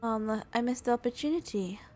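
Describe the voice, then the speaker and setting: A woman's voice: fairly high pitch, fairly quick, a little mellow. A woman gaming streamer giving casual, reactive commentary, often muttering to herself as she plays. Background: game music.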